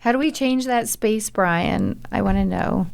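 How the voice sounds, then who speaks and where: A woman's voice: medium pitch, measured pace, gravelly, slight background noise. A woman hosting a podcast conversation, encouraging her guest and asking him questions. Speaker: podcast host.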